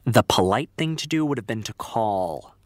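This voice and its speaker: A man's voice: on the low side, lively intonation, normal speed, dry recording, clean studio sound, close to an everyday voice. An actor playing a prim, health-conscious man, exasperated with his friend, in naturalistic audio drama dialogue. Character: fussy, health-conscious morning person, exasperated male friend.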